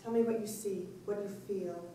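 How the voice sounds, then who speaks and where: A female voice carries through a very echoey room from a distance, over faint noise. It is an actress as a solemn soothsayer in a stage play. She is commanding and ceremonial, guiding a trance with measured, probing words. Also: mid-range voice, steady tone, mellow, close to an everyday voice. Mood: solemn, calm. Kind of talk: dramatic staged play reading. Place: indoor room, staged reading recorded from afar.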